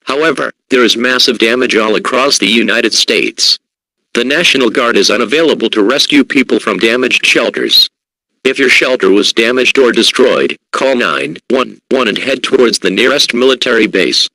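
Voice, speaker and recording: A man reading a formal scripted emergency broadcast as an announcer, in a measured, official and impersonal manner. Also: mid-range voice, bright, forceful tone, no echo, poor audio.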